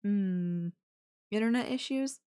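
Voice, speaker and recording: Woman acting as a slow-talking, clingy yandere girlfriend. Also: mid-range voice, dark tone, clean tone, natural voice. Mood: sweet, coy. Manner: hesitant, drawn-out, coy delivery with hums and pauses. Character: clingy, possessive yandere girlfriend, feigning sweet innocence. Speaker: voice actor. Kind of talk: girlfriend audio roleplay, one side of a call.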